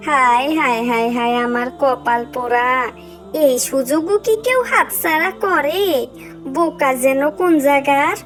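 Greedy, excitable village wife, Bangladeshi accent, very high animated cartoon delivery, faint music underneath. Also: female voice, unhurried. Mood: scolding. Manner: shrill nagging, dramatic exclamations. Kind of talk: exaggerated cartoon voice acting.

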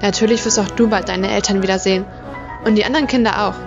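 Radio-play mother female actor, reassuring, medium register, dull sound, clear music. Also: mid-range voice, fairly even intonation, fast talker, close to an everyday voice. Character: relieved, reassuring mother of rescued children. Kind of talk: radio drama acting.

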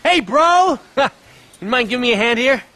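A man's voice: very high-pitched, animated, moderate pace, pushed and bright, exaggerated voice. A man playing a cocky, intense extreme sports bro in a video game, boastful and goading in his talk. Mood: excited. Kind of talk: video game cutscene dialogue.

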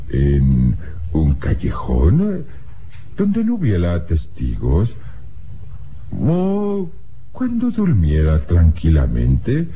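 An actor playing a sly, mocking knife-throwing villain in a classic radio drama, speaking halting, broken Spanish. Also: male voice, extremely low pitch, full character voice. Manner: halting broken Spanish, hesitant filler sounds. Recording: muffled, noisy old radio recording.

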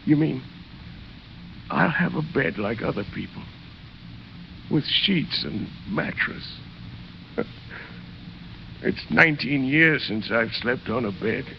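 A man acting a desperate, exhausted and wary ex-convict in a 1930s radio drama, emphatic and halting. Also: medium pitch, expressive, creaky. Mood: stunned, humbled. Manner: emphatic, halting, repeats himself. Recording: dull, noisy 1930s radio recording.

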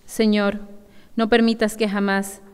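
A woman's voice: medium pitch, little pitch movement, a little slow, a little mellow, smooth. Female lay reader, crystalline voice, level devout recitation, reverberant church. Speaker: lay reader leading congregational responses. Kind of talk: recited prayer.

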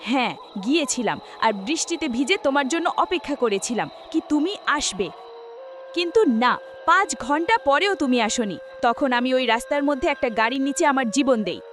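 A woman's voice: sits high, animated, a little fast, fairly bright tone. A woman playing the vengeful ghost of a jilted young woman in a horror cartoon, dramatic, accusing and menacing. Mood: bitter, menacing. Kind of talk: melodramatic horror cartoon dialogue.

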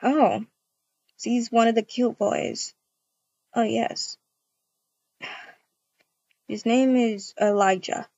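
High-pitched young-sounding woman, muffled dry mic. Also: fairly even intonation, extremely slow, gravelly, long pauses, darkish tone, breathy edge, no echo. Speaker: video creator acting out a roleplay. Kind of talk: improvised game roleplay and casual chat to viewers.

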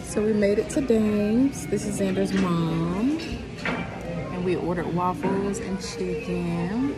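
A woman's voice: mid-range voice, slow pace, over loud music. A young woman, the boy's mother, chatting casually to the phone camera and laughing with her son. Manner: warm, laughing, talks to her child. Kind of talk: casual family vlog. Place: restaurant and city streets, handheld phone.

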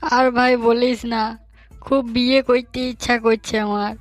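A man playing a hapless, cheeky young bachelor in a comic cartoon, in colloquial rural western West Bengal dialect with a pitched-up voice. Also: level tone, measured pace, no echo, faint music behind. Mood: whiny complaining. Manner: colloquial, pleading, bantering.

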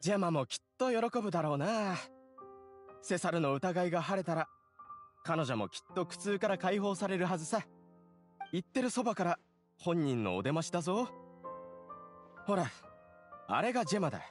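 High-voiced, talkative young townsman. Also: expressive, moderate pace, long pauses, faint music behind. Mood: knowing, casual. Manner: casual, assertive, storytelling. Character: young townsman, talkative, confident admirer of a magician. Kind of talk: anime game voice acting.

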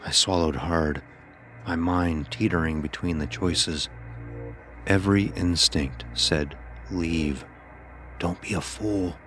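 Deep-voiced man narrating a horror story. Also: steady tone, a bit bright, faint music behind. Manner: grave, measured storytelling. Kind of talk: dramatic first-person horror story narration.

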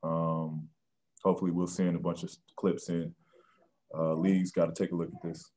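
A man in his thirties answering postgame questions at a press conference, soft-spoken and halting with many ums and restarts, on a video call. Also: sits low, little pitch movement. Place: press room with sponsor backdrop.

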